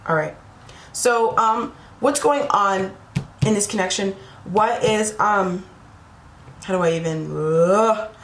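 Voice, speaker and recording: A woman's voice: mid-range voice, slow pace. Tarot reader, woman, losing her voice; chatty, rambling delivery to camera; echoey room, faint background hum. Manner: rambling, repeats "right?" and "you know?" to the viewer. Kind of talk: casual spiritual tarot reading to camera.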